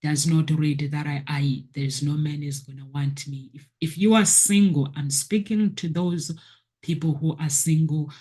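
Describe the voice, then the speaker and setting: Slow, husky-voiced woman preaching self-worth. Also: low-pitched, steady tone, dark tone. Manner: earnest, preachy, repeats key phrases. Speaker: motivational video host. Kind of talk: motivational talk to viewers.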